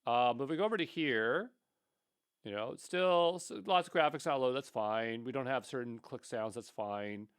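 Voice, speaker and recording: A man's voice: mid-range voice, normal speed, clean tone, no echo, clean studio sound. A man giving an unscripted coding tutorial, thinking aloud in a rambling way with mildly exasperated asides. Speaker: tutorial instructor.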